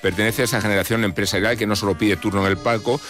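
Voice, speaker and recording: A low-voiced man reads a scripted radio column at a quick clip. His delivery is literary and ironic, with light music underneath. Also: fairly even intonation, a bit bright. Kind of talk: scripted opinion column read aloud. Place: radio studio.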